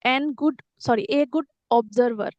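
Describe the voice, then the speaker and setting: Middle-aged lady teacher, high voice, dry dull audio, explains earnestly. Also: moderate pace, a little mellow, no echo. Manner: earnest, repeats herself, small slips. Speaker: English teacher. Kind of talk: conversational English lesson dialogue.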